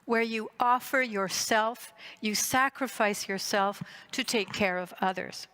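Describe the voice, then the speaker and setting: A woman in her sixties from Greece and Canada gives a prepared conference talk as a psychotherapist, phrasing deliberately with emphatic repetition. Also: fairly high pitch, fairly bright tone. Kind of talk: prepared conference lecture with personal story.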